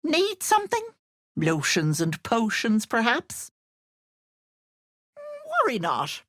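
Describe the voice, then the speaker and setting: An actress playing a sly old hag who poses as a sweet auntie in a video game, cooing and wheedling with menace underneath. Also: female voice, fairly high pitch, huge pitch swings, slow pace, fairly bright tone, exaggerated voice. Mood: sly, coaxing. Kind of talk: theatrical video game character acting.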